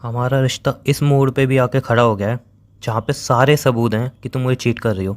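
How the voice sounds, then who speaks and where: A man's voice: medium pitch, steady tone, fairly quick, natural voice. A man playing a hurt, accusing young boyfriend in a dramatized breakup phone call, pleading and sarcastic and repeating himself for emphasis. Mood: disbelieving, bitter. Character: hurt, accusing young boyfriend confronting his cheating girlfriend.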